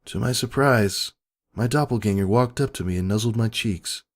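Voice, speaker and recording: A man's voice: on the low side, normal speed. A man giving an earnest, solemn dramatic narration of a first-person story. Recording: background music.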